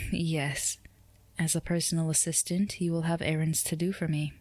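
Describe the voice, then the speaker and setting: Low-voiced flirtatious female boss, played by a woman. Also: level tone, a little mellow, dry recording. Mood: teasing, assured. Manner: unhurried, teasing, formal and poised. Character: flirtatious, composed female boss, a company CEO. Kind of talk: scripted ASMR roleplay addressed to the listener.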